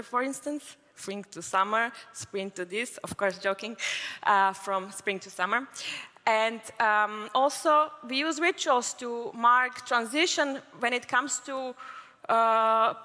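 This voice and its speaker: Bright-voiced woman giving a conference talk. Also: a little high. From Croatia. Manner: fluent, explanatory, with occasional fillers. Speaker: conference speaker. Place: large conference hall. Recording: hall reverb from a conference stage.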